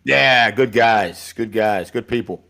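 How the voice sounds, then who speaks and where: A man's voice: lowish voice, slow, vocal fry, bright, forceful tone. Chummy man co-hosting a sports podcast. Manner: easygoing, chummy, calls co-host buddy. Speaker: podcast co-host. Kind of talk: casual live podcast chat.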